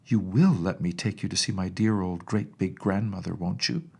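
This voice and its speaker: A man's voice: low-pitched, moderate pace, vocal fry, darkish tone, husky, exaggerated voice. A man voicing an earnest, indignant little girl princess in storybook dialogue, emphatic and pleading in a childlike way. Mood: pleading, tender.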